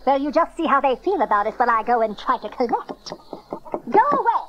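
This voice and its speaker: A woman's voice: high-pitched, very animated, brisk, a crowd in the background. A woman acting a brusque, grumbling innkeeper's wife and midwife in a dramatized audio Bible. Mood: scolding, indignant. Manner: brusque, scolding, exclamatory. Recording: dull lo-fi cassette transfer.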